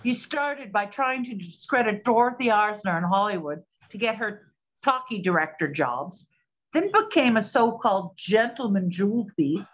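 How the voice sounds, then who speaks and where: An actress playing a suspicious, sharp-tongued woman detective in a 1920s period radio play. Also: mid-range voice, animated, moderate pace, lightly acted. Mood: accusing. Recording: slightly dull lo-fi sound.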